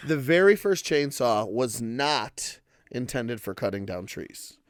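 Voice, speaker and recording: A man's voice: mid-range voice, sing-song, slow pace, no room sound, clean studio sound. A young man co-hosting a casual podcast, joking crudely and laughing as he tells stories and facts. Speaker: podcast co-host. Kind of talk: casual chat.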